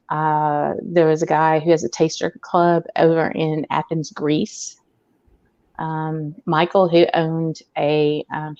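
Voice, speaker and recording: A woman's voice: deep voice, a little mellow, no room sound, muffled recording. A woman from Arkansas with a mixed Southern accent, a podcast guest telling stories in casual chat, with lots of ums and laughs. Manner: chatty storyteller with frequent ums and laughs.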